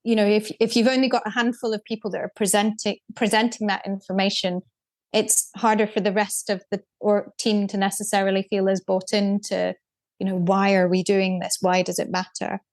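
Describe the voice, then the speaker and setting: Even-toned woman. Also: mid-range voice. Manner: measured, explanatory, with frequent restarts. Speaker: guest, deputy programme director. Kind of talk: casual podcast conversation.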